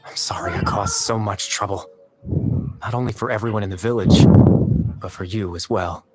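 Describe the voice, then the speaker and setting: An actor playing a gentle, regretful young man who is only a remnant of consciousness, speaking wistfully and hesitantly in video game story dialogue. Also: on the low side, normal speed, music in the background, close to an everyday voice. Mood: regretful, pleading. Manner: wistful, hesitant, confessional delivery.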